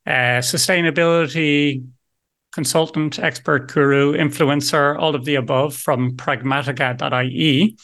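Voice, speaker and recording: A man's voice: a little high, sharp tone. A man hosting a business podcast, introducing his guest in a friendly, upbeat way with loose asides. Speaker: podcast host.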